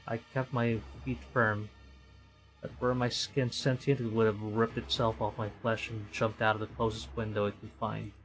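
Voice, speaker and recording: A man's voice: on the low side, flat delivery, normal speed, low-quality recording. A man from the Philippines livestreaming a game, reading aloud theatrically and reacting to it. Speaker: streamer. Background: game music.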